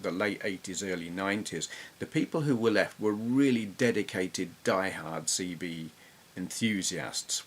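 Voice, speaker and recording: A middle-aged man with an English accent giving casual, reflective, slightly halting commentary as he reminisces. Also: sits low, a bit bright. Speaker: retro gaming YouTuber.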